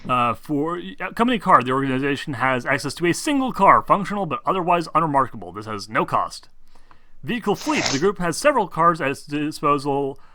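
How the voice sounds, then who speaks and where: Bright-voiced man explaining tabletop game rules. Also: on the high side, expressive, brisk. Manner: hesitant, rambling delivery with casual swearing. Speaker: game master.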